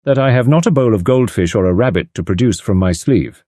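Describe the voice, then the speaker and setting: A man voicing a tall, mysterious gentleman who speaks calmly, gently and enigmatically. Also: fairly low pitch, brisk, no room sound, studio quality, lightly acted. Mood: enigmatic.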